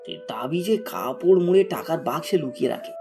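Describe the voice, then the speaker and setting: A man's voice: on the high side, expressive, brisk, mellow, faint music behind. A man narrating a scripted moral story as a storybook narrator.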